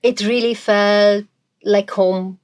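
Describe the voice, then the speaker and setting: A woman's voice: medium pitch, fairly even intonation, slow, a little mellow. A woman in her forties from Romania with a Romanian accent, speaking as a guest in a candid interview, reflective and hesitant, with many fillers and restarts.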